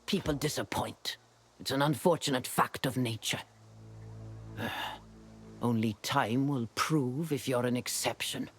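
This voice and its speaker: An actor voicing a dry, haughty scholar of ancient ruins in video game quest dialogue, measured and faintly condescending. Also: medium pitch, a bit bright, slightly rough. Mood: dry, skeptical.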